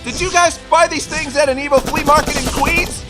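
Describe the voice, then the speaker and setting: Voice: high voice, fairly even intonation, fairly quick, over loud music, lightly acted. An actor playing a breezy, cheeky young male superhero who tosses out exclamatory quips in a script table read. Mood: exclaiming, quippy. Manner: quippy, exclamatory.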